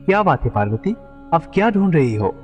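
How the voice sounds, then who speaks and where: Man voicing a calm god in long reverb. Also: fairly high pitch, a little fast, muffled recording. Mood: calm, reassuring. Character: calm supreme god, reassuring husband and father. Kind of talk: animated devotional story dialogue. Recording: long reverb, music underneath.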